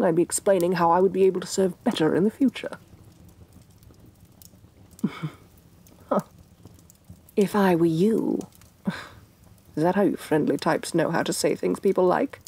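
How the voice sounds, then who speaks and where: A woman playing a domineering, vain evil sorceress queen in a soft-spoken ASMR fantasy roleplay, imperious and sardonic, with breathy condescension. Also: fairly low pitch. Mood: musing. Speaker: voice actress.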